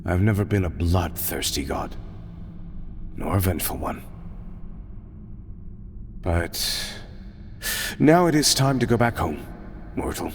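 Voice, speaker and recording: A man performs a proud, grieving god with a very deep voice that ranges widely in pitch. He speaks in solemn, formal phrases with breathy softness, in a fantasy audio drama. Noisy ambience sits around the voice. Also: lively intonation, takes it slowly, long pauses, exaggerated voice. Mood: weary, mournful. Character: weary, proud male god, grieving and resolute. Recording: clearly noisy, ambient background sound.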